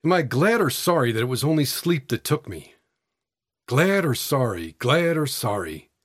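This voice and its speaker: A man voicing a weary gentleman tramp in a story, bitter and resigned, speaking in an educated, understated way. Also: a little high, animated, fairly quick, breathy edge, no echo, studio quality.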